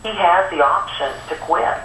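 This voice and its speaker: Bright woman on echoey phone line. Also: fairly low pitch, moderate pace. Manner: terse, inquiring. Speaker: congressional office staffer taking a call. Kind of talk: phone conversation, brief questioning. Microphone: telephone. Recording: noisy, echoey telephone line.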